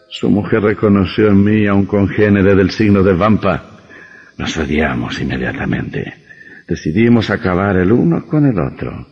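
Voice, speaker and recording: Gloating male vampire, low gravelly voice, theatrical menace, old radio horror. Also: full character voice. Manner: menacing, gloating, slow and theatrical, with sinister laughter. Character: gloating centuries-old male vampire posing as village doctor. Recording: dull old radio recording, long reverb.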